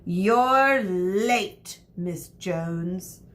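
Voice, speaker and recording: A woman's voice: medium pitch, very slow, a bit bright. A woman voicing a stern, impatient schoolteacher from a children's storybook, clipped and scolding.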